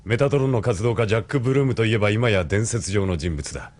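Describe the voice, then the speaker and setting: Man acting a deep-voiced, calculating military colonel. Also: lightly acted. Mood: probing, polite. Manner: measured, formal, probing. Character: cool, calculating male military colonel, formally polite. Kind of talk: Japanese audio drama acting.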